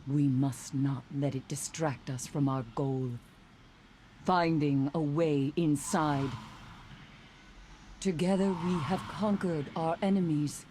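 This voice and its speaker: An actress playing a haunted, cryptic woman mystic in a video game, speaking gravely and with portent. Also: low voice, steady tone, takes it slowly, warm tone, slight background noise. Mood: resolute, solemn. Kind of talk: dramatic video game cutscene acting.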